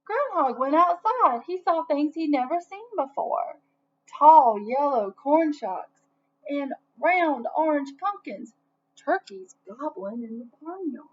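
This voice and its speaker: A slightly dull recording of a woman reading aloud to children. Her voice is high and she takes her time, narrating expressively as a storyteller. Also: slow. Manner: expressive, asks listeners questions. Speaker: storybook reader. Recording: dull lo-fi sound, faint noise.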